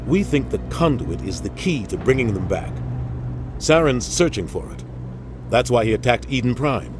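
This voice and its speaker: An actor playing a brisk, matter-of-fact male ambassador, with game music behind. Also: medium pitch, big pitch swings, natural voice. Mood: grave.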